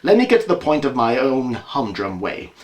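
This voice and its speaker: Male performer as confident teasing detective, audio drama, brisk and theatrical, very animated intonation. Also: medium pitch, close to an everyday voice. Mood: brisk, taunting. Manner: quick, taunting, theatrical speech.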